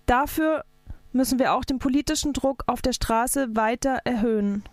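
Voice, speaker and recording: A woman's voice: fairly high pitch, moderate pace, no echo. A woman activist delivering a scripted political speech in an emphatic, declarative manner. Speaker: activist speaker.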